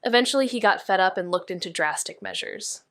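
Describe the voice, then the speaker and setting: A woman playing a serious, analytical investigator in an audio drama, speaking in a measured, precise way. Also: medium pitch, animated, a bit bright, no room sound, clean studio sound.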